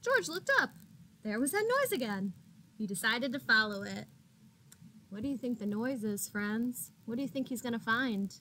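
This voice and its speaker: A woman teacher reading a picture book aloud to young children, expressively, pausing to put questions to them and gasping for suspense. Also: fairly high pitch, slight background noise. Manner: expressive, addresses children as friends, gasps for suspense.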